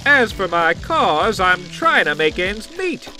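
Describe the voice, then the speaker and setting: Insect supervillain: high-pitched, drawn-out gloating delivery, boastful and singsong, superhero radio play. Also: male voice, sing-song, sharp tone, soft background music, full character voice. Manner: theatrical, taunting, singsong rhyming. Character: gleeful rhyming insect supervillain, boastful and sly. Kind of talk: campy superhero cartoon audio drama acting.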